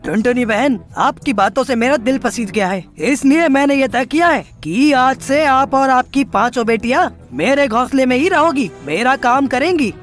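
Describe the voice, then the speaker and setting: A woman's voice: high-pitched, animated, moderate pace, hardly any pauses, faint music behind. A woman voicing a stern, bossy male crow moneylender in a children's cartoon story, with an emphatic, commanding manner. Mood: moved, grateful.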